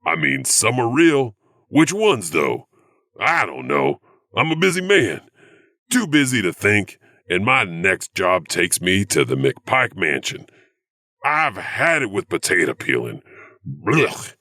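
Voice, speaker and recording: A man's voice: lowish voice, big pitch swings, slow pace, pushed and bright, dry recording, clean studio sound, exaggerated voice. A man playing a sly janitor who hosts an audio horror anthology, telling creepy tales in a theatrical, musing, wry manner. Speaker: host and narrator. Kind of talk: scripted spooky storytelling.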